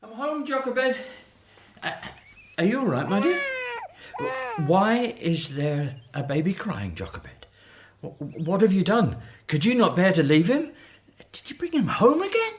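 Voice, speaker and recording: A woman's voice: mid-range voice, huge pitch swings, unhurried, a little hiss or hum. A woman playing an anxious, bewildered Hebrew husband and father in a radio drama, stammering through flustered questions. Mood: flustered, alarmed.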